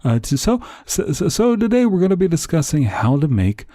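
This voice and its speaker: A man playing an earnest, hesitant host of a parody Italian-heritage podcast, speaking haltingly with many uhs. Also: on the high side, big pitch swings, warm tone, no echo, studio quality. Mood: halting, deadpan.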